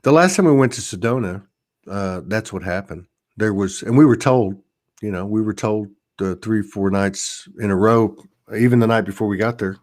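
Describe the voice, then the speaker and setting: A man's voice: on the low side, normal speed, darkish tone. A man talking casually and reflectively about spiritual experiences, circling back and rephrasing as he goes.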